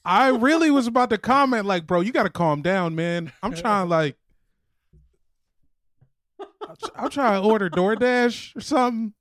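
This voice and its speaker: A man with a high voice and very lively intonation, trading casual jokes as a podcast co-host. He is slangy, profane and irreverent, and the sound is close and dry. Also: normal speed, pauses often, dry recording. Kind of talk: casual comic banter.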